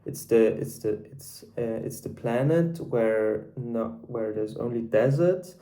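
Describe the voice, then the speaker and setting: Sixteen-year-old Swiss boy, German first language, soft mellow tone, stumbling and restarting as he reviews books. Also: mid-range voice, slow. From Switzerland. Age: teens, 16. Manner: hesitant, with many restarts and repeated words. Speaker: book vlogger. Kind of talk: casual unscripted book review.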